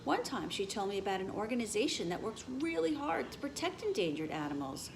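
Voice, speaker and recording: A woman's voice: medium pitch, very animated, a little fast, vocal fry, a little hiss or hum. A woman voicing an earnest, knowledgeable young schoolboy in a children's storybook, speaking matter-of-factly. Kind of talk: children's storybook read-aloud.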